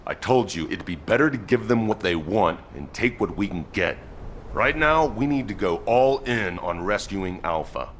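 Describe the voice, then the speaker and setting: An actor playing a gruff, pragmatic squad commander in a video game, blunt and commanding. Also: male voice, fairly low pitch, lively intonation, moderate pace, a bit bright, slight background noise. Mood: firm, pragmatic.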